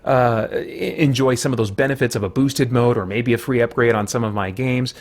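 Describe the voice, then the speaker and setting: A middle-aged man with a mid-pitched voice talks in the manner of a video game vlogger sharing opinions. His delivery is conversational and measured. He is careful with his claims and qualifies them as he goes. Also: steady tone. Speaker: gaming vlog host.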